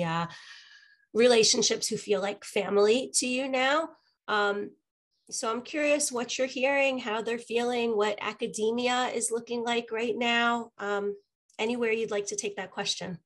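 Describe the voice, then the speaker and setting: A woman's voice: medium pitch, a bit bright. Courteous woman host asking questions. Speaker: interview host. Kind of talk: moderating a webinar interview.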